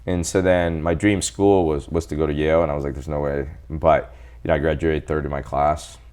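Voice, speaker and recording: Very deep-voiced man in his forties. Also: a little fast, clear, resonant tone. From El Salvador. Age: 40s. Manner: animated, quotes people in his stories. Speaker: guest doctor. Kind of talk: casual interview storytelling.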